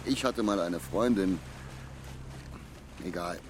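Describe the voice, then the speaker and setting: An actor playing a middle-aged barge skipper with a shady past, gruff but kindly, speaking haltingly and colloquially in a radio drama. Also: male voice, low voice, noisy background. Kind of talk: German audio drama acting, storytelling.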